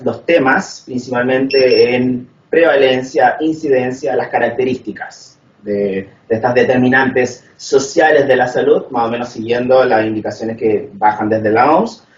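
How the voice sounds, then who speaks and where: A man from Chile puts a long, structured question to a speaker at a conference. He talks methodically and leans on "eh" as he lays out his points. He is recorded from across an echoey hall, a little dull and lo-fi, with faint room hum behind him. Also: medium pitch. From northern Chile. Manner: measured, methodical, frequent "eh" fillers. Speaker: audience member asking a question. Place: lecture hall. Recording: echoey, distant, dull lo-fi sound.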